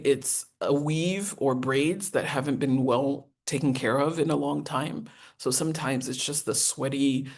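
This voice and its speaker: A woman, teaching a professional etiquette masterclass in an earnest, encouraging way with deliberate enunciation. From United States. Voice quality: deep voice. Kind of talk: masterclass lecture.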